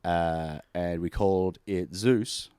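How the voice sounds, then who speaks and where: A man's voice: low voice, steady tone, slow, warm tone, clear, resonant tone, no echo, clean studio sound. A man hosting a podcast, talking loosely and laughing often. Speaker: podcast host. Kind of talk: casual chat.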